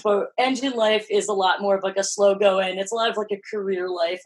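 Husky low-voiced woman, Philly-Jersey accent. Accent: Philadelphia-New Jersey. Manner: low-voiced, animated, sprinkles "like" and swears freely. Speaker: podcast guest. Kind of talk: casual interview chat.

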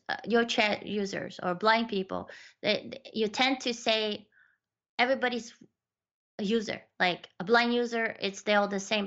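A woman talks in an easy, chatty way and keeps restarting her sentences as she shares stories from her work. Her voice sits at an ordinary middle pitch. The recording sounds a little dull and lo-fi. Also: creaky, fairly bright tone. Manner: chatty, lots of fillers and restarts, tells anecdotes. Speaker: accessibility researcher and guest. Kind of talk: casual conversation.

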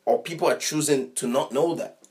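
A man's voice: on the high side, fairly quick, darkish tone. Emphatic young man in podcast chat. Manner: emphatic, repeats phrases. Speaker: podcast co-host. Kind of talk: casual chat.

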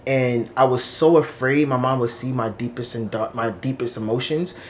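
A man's voice: medium pitch, normal speed, slight background noise. Reflective man in his thirties, from Long Island. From Long Island, New York. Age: 30s. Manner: candid, reflective storytelling. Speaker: interviewee. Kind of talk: oral history interview. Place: office indoors.

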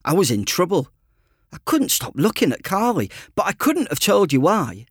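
Expressive man narrating, lively swooping pitch. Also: moderate pace, breathy edge, no echo, clean studio sound. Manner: conversational, self-deprecating, expressive. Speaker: author reading his own audiobook. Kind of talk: first-person audiobook narration as a teenage boy.

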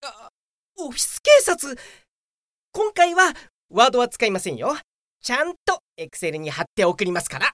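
A woman's voice: a little high, very animated, normal speed, a bit bright, dry recording, studio quality. A woman playing a young male office worker in an audio drama, self-assured and grumbling. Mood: bewildered. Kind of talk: Japanese audio drama acting.

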